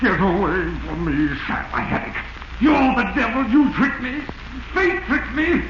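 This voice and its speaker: An actor playing a crazed, guilt-ridden convict who rants frantically in 1940s radio drama style. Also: male voice, extremely high pitch, expressive, unhurried, lo-fi sound, heavily acted. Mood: raving fury. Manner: frantic, ranting, anguished. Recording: noisy, band-limited 1940s radio recording, echoey.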